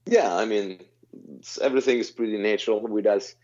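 A man in his thirties with a very deep voice, answering interview questions in a halting, self-correcting way. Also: mellow. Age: 30s. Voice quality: very low, deep voice. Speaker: guest vocalist. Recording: slightly dull lo-fi sound.

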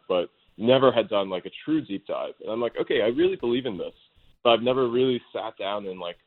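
In dull, band-limited audio, a man talks at a quick clip. His voice sits a little low, and he speaks informally and anecdotally, like a guest explaining himself in an interview. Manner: informal, self-quoting storyteller. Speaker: interview guest, startup co-founder. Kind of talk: casual, anecdotal interview talk.

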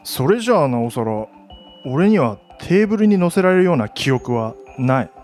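A man's voice: mid-range voice, very animated, moderate pace, a little mellow, soft background music, lightly acted. An actor playing a wary, self-doubting ordinary man in a radio drama, guarded, blunt and hesitant. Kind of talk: Japanese radio drama acting.

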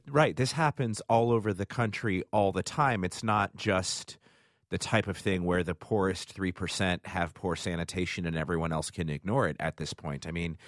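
A man's voice: low voice, no room sound, studio quality. A man hosting a news interview, introducing guests and asking probing questions. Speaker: news host.

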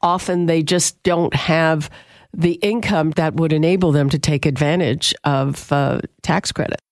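A woman's voice: low-pitched, measured pace, dry recording, clean studio sound. Woman radio host asking pointed questions. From Canada. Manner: direct, probing questions. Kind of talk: radio interview.

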